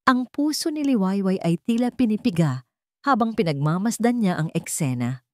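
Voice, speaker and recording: A woman's voice: medium pitch, expressive, moderate pace, warm tone, dry recording. A woman storyteller reading a dramatic scripted narration in a suspenseful, emotive manner.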